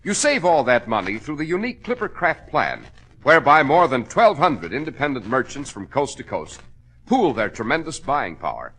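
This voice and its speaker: A man's voice: medium pitch, very animated, moderate pace, fairly bright tone, slightly rough. An American man announcing and hosting a vintage radio show with emphatic, polished salesmanship in a 1940s style.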